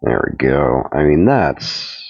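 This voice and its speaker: Deep-voiced stuffed-up man reviewing toys. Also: slow, gravelly, no echo. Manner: relaxed, rambling, repeats himself while handling the figure. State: stuffed up from a cold. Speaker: toy reviewer. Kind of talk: casual toy review. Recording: dull, dry home recording.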